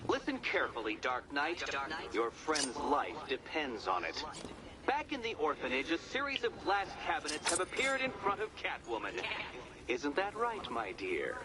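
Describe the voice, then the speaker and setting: Pompous, swooping game villain. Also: male voice, on the high side, very animated, normal speed, background noise. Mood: smug, menacing. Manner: pompous, mocking, florid wordy taunting.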